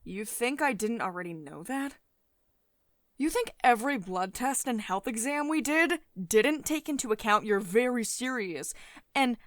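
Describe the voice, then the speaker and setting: A commanding female CEO, acted by a woman, speaks in a dry, close ASMR roleplay recording. Her pitch moves freely as she reprimands in a condescending, sardonic way. Beneath the strict authority there is a caring edge. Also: a bit bright, dry recording, exaggerated voice. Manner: domineering, sardonic, clipped reprimands with sugary pet names.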